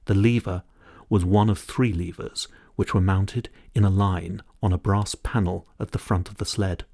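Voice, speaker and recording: Low-voiced man narrating a storybook. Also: fairly even intonation, moderate pace, gravelly, darkish tone, no echo, clean studio sound. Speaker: audiobook narrator. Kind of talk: expressive scripted storybook narration.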